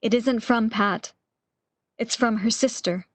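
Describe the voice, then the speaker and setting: A woman's voice: a little high, takes it slowly, no room sound. A woman playing a frail, fearful, lovesick woman, pleading emotionally in an amateur radio drama. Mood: resigned wonder.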